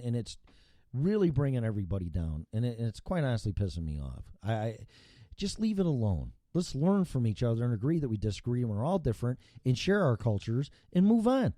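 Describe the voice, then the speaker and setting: A middle-aged man hosts a casual, opinionated talk. His voice is mellow and swings widely in pitch as he makes blunt, sweary, emphatic points, often repeating himself. The sound is close and dry. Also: no echo. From Chicago area, United States. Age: middle-aged or older. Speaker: talk show host.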